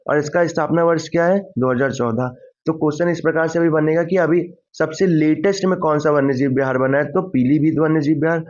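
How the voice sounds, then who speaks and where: Male teacher, fairly high pitch, didactic question-and-answer revision delivery, dull low-fidelity sound. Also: steady tone, brisk, a little mellow. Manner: didactic, poses questions then answers them, repeats key points. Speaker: exam-prep teacher.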